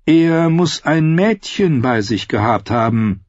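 A man's voice: fairly high pitch, lively intonation, slow pace, a little mellow, no room sound, dull audio, voice pushed up. Man voicing a wry, mockingly polite outlaw singer. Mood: mock-friendly. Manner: teasing, falsely courteous. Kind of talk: audiobook character voice.

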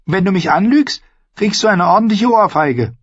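A male narrator plays a young noble girl in an audiobook, pitched high. She is blunt, suspicious and quick to challenge, with a husky edge. The sound is a little dull. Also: lively intonation, no echo. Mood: suspicious, threatening. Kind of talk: audiobook character voice.

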